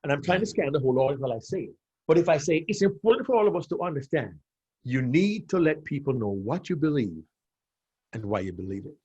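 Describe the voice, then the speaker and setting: A man's voice: on the high side, expressive, quick pace, darkish tone, husky, highs cut off. A man in his fifties from Jamaica, coaching on public speaking as a podcast guest, emphatic and given to repeating his key phrases. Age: 50s. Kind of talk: animated coaching explanation.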